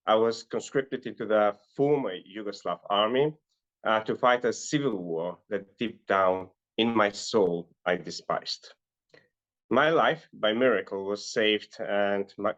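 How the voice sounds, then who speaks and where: A middle-aged man from North Macedonia, a life and business coach, earnestly telling his life story as an interview guest, often pausing on "uh". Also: lowish voice, muffled recording. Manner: earnest, with frequent "uh" fillers.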